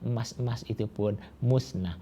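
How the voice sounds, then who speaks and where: A man telling a folk tale to children, slow and drawn-out, with rhetorical questions. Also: mid-range voice, mellow, slightly rough. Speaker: storyteller. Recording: dull lo-fi recording with faint hiss.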